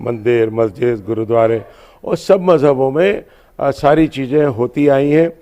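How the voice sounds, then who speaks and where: A man's voice: medium pitch, a little slow, dark tone. A man giving an explanatory interview statement as a spokesman, often repeating his phrases. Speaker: religious organisation spokesman.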